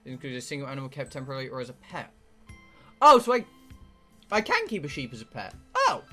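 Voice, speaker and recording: A man's voice: medium pitch, wildly swooping pitch, quick pace, sharp tone, soft background music. A British man live streaming a game, rambling casually to his viewers in a blunt, sweary, joking way. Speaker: live streamer playing a visual novel.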